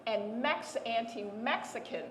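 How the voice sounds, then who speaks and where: A woman's voice: fairly high pitch, a little slow. A woman telling a family story on a panel, emphatic and repeating words for stress. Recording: echoey room with faint noise.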